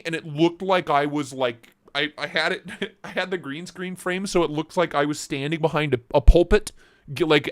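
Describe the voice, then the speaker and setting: A man's voice: on the high side, a bit bright, no echo, clean studio sound. A man hosting a casual live stream, joking around and greeting viewers by name, his voice starting to go. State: losing his voice.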